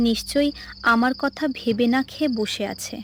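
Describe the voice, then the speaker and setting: A woman acting a high-voiced, homesick young daughter. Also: moderate pace, a little hiss or hum, close to an everyday voice. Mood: homesick, sad. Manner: plaintive, wistful. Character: lonely, homesick young daughter trapped in luxury. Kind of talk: animated story monologue. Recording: music behind the voice.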